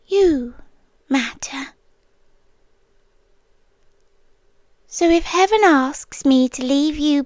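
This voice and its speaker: A woman's voice: high voice, dry recording. A British woman playing a possessive, whispering guardian angel. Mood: cold, secretive. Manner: soft, intimate, often whispered. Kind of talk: ASMR roleplay.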